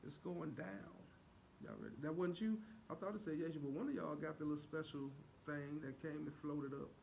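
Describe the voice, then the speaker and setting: A man's voice: a little high, brisk, creaky, darkish tone. A man co-hosting a livestream with playful, teasing banter and mock-churchy exclamations. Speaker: co-host greeting live viewers. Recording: noisy live video stream.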